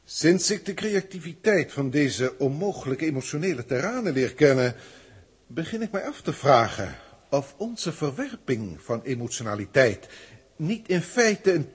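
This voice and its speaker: A man playing a haughty, irritable senior alien official in a 1960s radio play, speaking in a pompous, formal manner with a husky voice. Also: on the high side, full character voice. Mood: pompous, brooding. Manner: pompous, formal, emotionally indignant.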